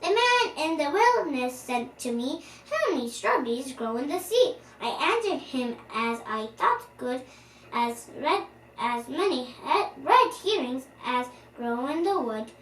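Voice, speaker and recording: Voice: sits high, sing-song, measured pace, fairly bright tone, heavily acted. A child voicing a cheeky, impertinent little male squirrel from a storybook, taunting with sing-song riddles. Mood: sing-song riddling. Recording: roomy, distant mic, faint noise.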